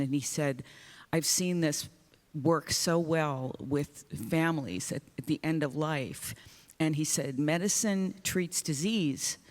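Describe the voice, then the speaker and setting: A woman singer speaking earnestly on a panel, often restarting her sentences. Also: low-pitched. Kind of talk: panel discussion. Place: conference hall stage. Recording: long reverb of a large hall.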